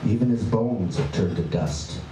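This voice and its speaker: A man's voice: lively intonation, background noise. Wry spoken word poet man, echoey room. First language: English. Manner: playful, wry, bawdy delivery with sudden pitch leaps and drawn-out words. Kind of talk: spoken word poetry performance. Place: performance venue. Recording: echoey room, voice from far off, faint ambience.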